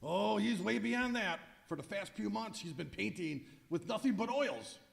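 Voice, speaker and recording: An actor playing a cheeky, unflappable manservant with a gravelly voice in an old-time radio comedy on stage. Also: male voice, high voice, animated, moderate pace, heavily acted. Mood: matter-of-fact. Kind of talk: 1940s radio comedy acting before a live audience. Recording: echoey hall recording of stage show.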